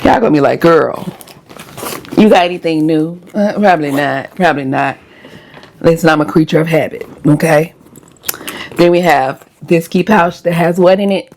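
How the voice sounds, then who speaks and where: A woman vlogger with a hoarse voice, chatting casually and directly to her viewers as she shows what is in her bag. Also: sits low, moderate pace, a little mellow, a little hiss or hum. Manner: chatty, talks straight to viewers. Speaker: lifestyle vlogger.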